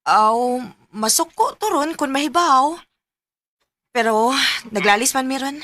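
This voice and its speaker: A young Bisaya woman in a radio love drama. Her voice sits high and has a sharp, bright edge. She speaks with a Philippine Bisaya accent, as a student caught between two men. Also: expressive, a little slow. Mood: shy, friendly.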